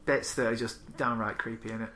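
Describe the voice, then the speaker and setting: A man's voice: medium pitch, steady tone, fairly quick, pushed and bright, slight background noise. A man chatting casually as a co-host, informal and sweary, acting out bits of dialogue as he tells stories. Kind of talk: casual chat with anecdotes.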